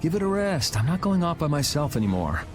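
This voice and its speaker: A man's voice: fairly high pitch, sing-song, a little fast, darkish tone. An actor voicing a cool, blunt young swordsman in a video game, dry and laid-back. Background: game music.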